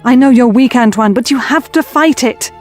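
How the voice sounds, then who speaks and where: An anxious, determined young woman played by an actress in an audio drama. Her voice is fairly high, her manner urgent, blunt and wry, with faint music behind. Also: normal speed, a little mellow, close to an everyday voice.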